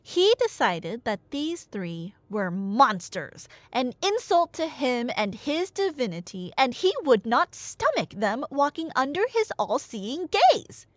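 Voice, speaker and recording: A woman's voice: sits high, big pitch swings, slow pace, few pauses, no echo, heavily acted. A woman playing a nerdy, teasing girlfriend in an ASMR roleplay, rambling through Greek myths with dramatic narration and casual sarcastic asides. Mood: dramatic storytelling. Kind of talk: ASMR roleplay storytelling to a listener.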